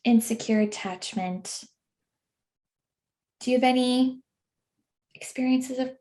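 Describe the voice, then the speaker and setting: A woman hosting a podcast in a measured, affirming way, summing up her guest's ideas and asking follow-up questions. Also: high-pitched, a little mellow. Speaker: podcast host. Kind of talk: casual podcast conversation.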